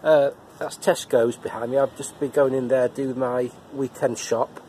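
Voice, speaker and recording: A man's voice: medium pitch, steady tone, dark tone, a little hiss or hum. A middle-aged man with a head cold, talking casually to camera in a personal vlog, often repeating words as he restarts. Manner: chatty, halting with repeated words. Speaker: vlogger reviewing episodes. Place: living room indoors, and a car park outdoors at night.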